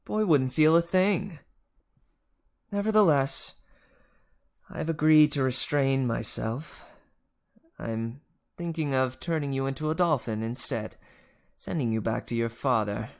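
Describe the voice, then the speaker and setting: Man playing a haughty, gravelly, petulant wine god. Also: medium pitch, unhurried, pauses often. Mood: petulant menace. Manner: pompous, theatrical, menacing. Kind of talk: dramatic children's audiobook reading.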